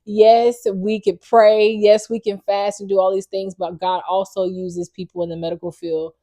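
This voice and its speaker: A woman's voice: mid-range voice, level tone, moderate pace, warm tone, dry recording. Enthusiastic woman hosting a podcast. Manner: enthusiastic, encouraging. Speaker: podcast host. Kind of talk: casual faith podcast chat.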